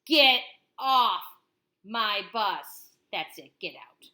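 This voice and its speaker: A woman voicing a gruff, bullying male bus driver from a children's storybook, barking bossy orders. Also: mid-range voice, animated, very slow, bright, forceful tone, heavily acted. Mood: furious.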